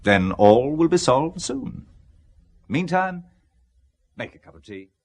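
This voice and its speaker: A man's voice: medium pitch, very animated, slow. An actor playing a suave, teasing, middle-aged British businessman in a radio drama, urbane and wry. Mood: brisk.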